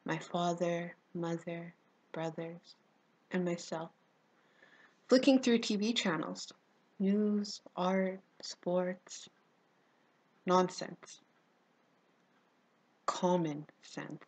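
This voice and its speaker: Woman acting as haunted teenage girl, dramatic monologue, slow and halting, soft breathy voice, tender, grieving. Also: on the low side, rough recording. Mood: calm recollection. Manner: slow, halting, emotive, swelling from hush to intensity.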